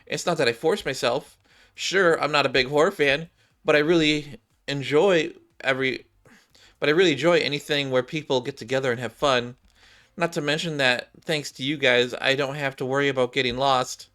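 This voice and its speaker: A man voicing a gentle, timid policewoman from a game, earnest, mild and apologetic. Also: mid-range voice, moderate pace, close to an everyday voice.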